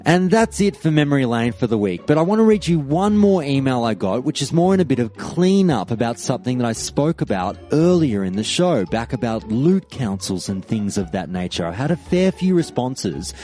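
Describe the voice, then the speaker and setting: A man hosting a podcast, chatting and reading listener emails aloud in a lively, expressive way. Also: medium pitch, moderate pace, soft background music. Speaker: podcast host.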